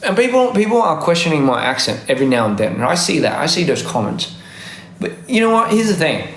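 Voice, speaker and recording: A man's voice: on the high side, very animated, fast talker, fairly bright tone, echoey room. A man in his thirties from Australia with a mixed Australian and American accent, chatting casually on a livestream and answering comments, rambling, correcting himself and laughing often. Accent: mixed Australian and American English. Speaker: livestream host.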